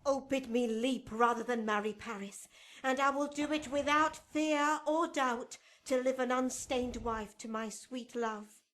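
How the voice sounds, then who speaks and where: Female, young tragic heroine for classical radio play; high voice, slow impassioned verse delivery, theatrical. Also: steady tone, a bit bright. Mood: desperate, resolute. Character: desperate, passionate young noble daughter in love. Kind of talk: Shakespearean radio drama acting.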